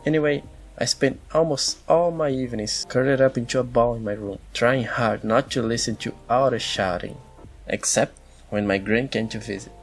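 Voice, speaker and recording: Man acting wistful male elf, creaky voice, measured and confiding, visual novel fandub, music behind. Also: medium pitch, natural voice. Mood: nostalgic, confiding. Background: background music.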